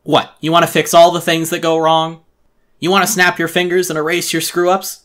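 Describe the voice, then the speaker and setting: An irate little storybook creature, acted out by a male narrator, blusters and scolds in streetwise slang. The voice is pitched up high, forceful and loud, hectoring like a tough guy. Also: flat delivery, fairly quick, natural voice. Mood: scolding, lecturing. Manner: shouting, streetwise, hectoring speech.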